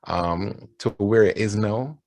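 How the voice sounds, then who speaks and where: A man's voice: on the low side, takes it slowly, darkish tone. A middle-aged man with a Barbadian accent, telling personal stories on a panel in a candid, wry, conversational way. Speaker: panelist, advertising creative.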